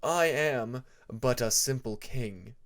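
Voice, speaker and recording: A man voicing a composed, unimpressed teenage dragon king with a measured, smug manner in an audiobook story. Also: mid-range voice, fairly bright tone. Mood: smug composure. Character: calm, unimpressed fifteen-year-old male dragon king. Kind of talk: fan fiction audiobook character voice.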